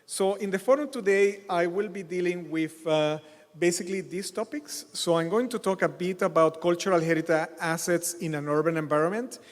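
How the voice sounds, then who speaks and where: Middle-aged man, keynote speaker, halting delivery, slightly high voice, long hall reverb. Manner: halting, many fillers and restarts. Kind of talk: conference keynote lecture. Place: large hotel conference hall.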